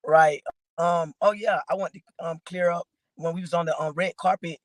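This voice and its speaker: A woman from Georgia with a raspy voice, a guest rapper rambling casually with lots of fillers. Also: on the low side, steady tone, normal speed, dry recording. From Augusta, Georgia, raised in Atlanta. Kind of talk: casual interview chat.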